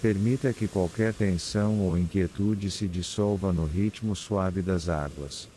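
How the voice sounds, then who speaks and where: A man with a Brazilian accent guides a scripted meditation in a calm, soothing, unhurried manner with long pauses. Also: deep voice, a little hiss or hum. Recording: clear background ambience of ocean waves. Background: sea waves ambience.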